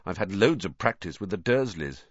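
A man's voice: sits low, lively intonation, moderate pace, no room sound, muffled recording. A man voicing an earnest, put-upon schoolboy wizard in a storybook, youthful and anxious. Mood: glum.

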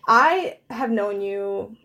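A woman's voice: mid-range voice, lively intonation, very slow, bright, forceful tone. A woman in her thirties hosting an interview, chatty and searching for words with many likes and fillers. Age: 30s. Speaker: host. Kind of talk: conversational interview.

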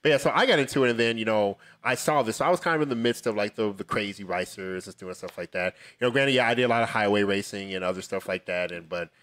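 An American man of thirty-two hosting a casual chat in a slangy, animated manner. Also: on the low side, quick pace, hardly any pauses. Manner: slangy, animated, frequent "dude" and "like". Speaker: podcast host steering the round.

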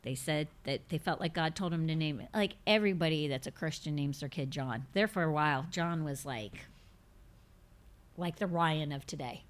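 Low-voiced woman in her fifties. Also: normal speed. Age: 50s. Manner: conversational, emphatic, repeats words when stressing a point. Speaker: podcast host. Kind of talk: casual faith talk answering listener questions.

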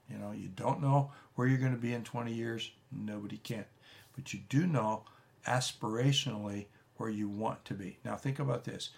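A man, a pastor giving a relaxed, rambling teaching talk with frequent restarts and folksy asides. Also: mid-range voice, gravelly. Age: 70s. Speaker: pastor teaching a church leadership course.

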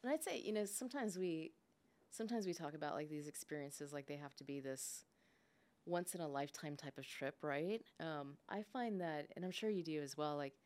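A woman's voice: low-pitched, a little fast, darkish tone. A woman who grew up speaking English and Spanish, talking casually and reflectively as a guest, often tagging thoughts with "like" and "right?". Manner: frequent "like" and "right?" tags. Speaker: podcast guest, business leader.

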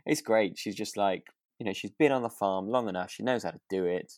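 A woman's voice: extremely low pitch, fairly quick, darkish tone, no room sound, studio quality. A British woman co-hosting a podcast, chatting casually in an agreeable, rambling, hesitant way. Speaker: podcast co-host. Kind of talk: casual chat reviewing a radio drama.

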